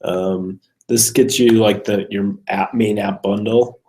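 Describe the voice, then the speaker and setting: Gravelly man casually explaining code. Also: lowish voice, steady tone, slow pace, a little mellow, poor audio. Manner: hesitant, unsure, thinking aloud. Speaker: programming student showing code. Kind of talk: casual screen walkthrough of code.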